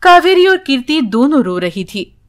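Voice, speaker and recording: A woman's voice: high voice, sing-song, normal speed. A woman narrating a scripted children's story as the storyteller.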